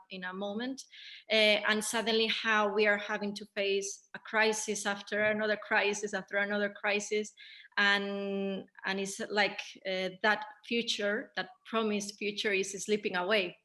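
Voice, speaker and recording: A woman with a bright, forward voice hosts a panel Q&A. Her pitch sits in the middle, and she feels her way hesitantly through each question. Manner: hesitant, searching for words. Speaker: Q&A moderator. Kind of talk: hosting a panel discussion.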